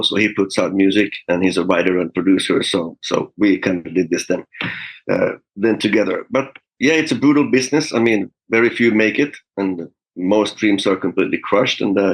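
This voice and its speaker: Husky-voiced man telling stories. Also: low voice, low-quality recording. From Finland. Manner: relaxed storytelling with frequent fillers and chuckles. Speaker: guest musician. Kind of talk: casual interview talk.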